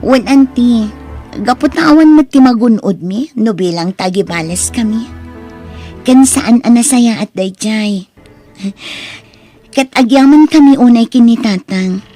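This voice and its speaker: A woman with an Ilocano Filipino accent playing a gracious, forgiving young niece in an audio drama, speaking gently and earnestly. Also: a little high, unhurried, pauses often, soft background music, lightly acted.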